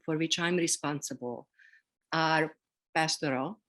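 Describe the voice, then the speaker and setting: A middle-aged woman with a Hungarian accent, speaking reflectively as an interview guest, pausing between short phrases. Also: low-pitched, a bit bright. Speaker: interview guest, seminary program director.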